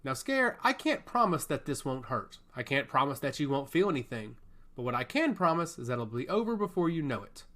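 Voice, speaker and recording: A man voicing a ruthless, solemn god of the tide in a fantasy audiobook, measured and deliberate. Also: mid-range voice, big pitch swings, a bit bright. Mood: grave. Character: male sea god, solemn, ruthless, commanding.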